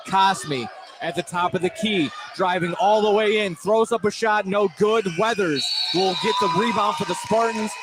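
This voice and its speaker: A man doing excited, wordy live play-by-play of a high school basketball game with dramatic phrasing. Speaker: play-by-play commentator. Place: indoor school field house. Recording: noisy live gym broadcast. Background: loud crowd and gym noise.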